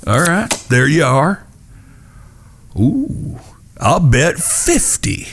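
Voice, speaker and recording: A man's voice: a little high, sing-song, extremely slow, a bit bright, a little hiss or hum, heavily acted. A man playing a vengeful outlaw card player in a western audio drama, mock-courteous and folksy while menacing.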